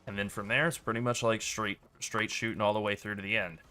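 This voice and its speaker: A man's voice: fairly low pitch, brisk. A man streaming a video game, commenting casually as he plays, with a rambling delivery full of "like.". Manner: relaxed, rambling, many "like" fillers.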